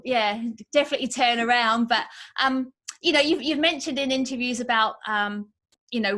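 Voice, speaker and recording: A woman co-hosting an interview, who agrees with the guest, shares her own experience and leads into questions with plenty of fillers. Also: on the high side. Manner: conversational, warmly agreeing, with fillers. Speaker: podcast co-host. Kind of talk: casual interview chat.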